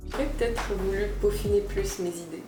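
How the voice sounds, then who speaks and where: A young woman of Algerian origin, talking earnestly about her experience in a casual interview and pausing often with "euh". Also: mid-range voice, reverberant, recorded from a distance, over music. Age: young adult. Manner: earnest, with frequent "euh" pauses and restarts. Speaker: student interviewee.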